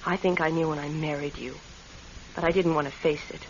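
A woman's voice: sits low, steady tone, fairly quick, close to an everyday voice. An actress playing an imperious, wealthy businesswoman wife in an old-time radio drama, speaking in a commanding, formal manner. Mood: cold, weary. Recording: dull, hissy vintage radio recording.

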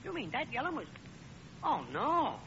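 Swooping, chummy lodge brother. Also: male voice, extremely high pitch, big pitch swings, moderate pace. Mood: disbelieving. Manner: folksy mock-formal, mangled big words. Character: chummy, loyal working-class lodge brother and neighbor. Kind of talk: old-time radio comedy acting. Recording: muffled, noisy old radio recording.

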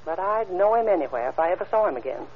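Actress as lively, careful female witness. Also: on the low side, fast, crowd noise, lightly acted. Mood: helpful, careful. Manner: careful, matter-of-fact, helpful. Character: observant, obliging female mortician giving witness testimony. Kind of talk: old-time radio crime drama acting. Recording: muffled old radio recording, background hiss.

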